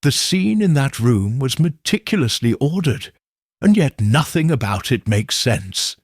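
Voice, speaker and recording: An earnest, puzzled gentleman companion to a detective read by a male narrator. The voice is husky and mid-pitched, moving expressively in a dry storybook recording. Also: very animated, measured pace, no echo, heavily acted. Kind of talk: audiobook character dialogue.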